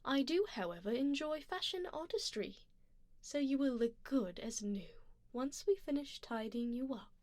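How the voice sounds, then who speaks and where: A woman playing an aristocratic, predatory yet tender vampire duchess in an ASMR roleplay, speaking softly and formally and slipping into whispers. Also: high-pitched, animated, normal speed, no echo. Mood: poised, doting. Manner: refined, formal, gently commanding.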